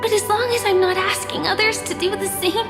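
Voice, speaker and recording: An actress playing a gentle but resolute young woman warrior in a game, speaking with measured, earnest hope. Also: very high voice, fairly even intonation. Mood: gentle conviction. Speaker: voice actor in a game cutscene. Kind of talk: scripted dramatic game dialogue. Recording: long reverb under clear music.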